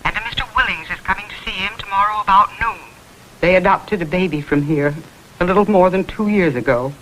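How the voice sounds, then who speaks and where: Worried wife, anxious, sharp-edged voice through a phone line, vintage TV crime drama. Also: female voice, on the low side, a little fast, slight background noise. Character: worried wife phoning for help. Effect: telephone voice. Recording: thin voice over telephone line.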